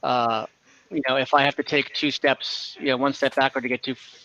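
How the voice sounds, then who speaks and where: Man playing a hesitant weary peasant traveler, bright-voiced. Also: medium pitch, little pitch movement, dull audio. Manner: halting, stammering, apologetic.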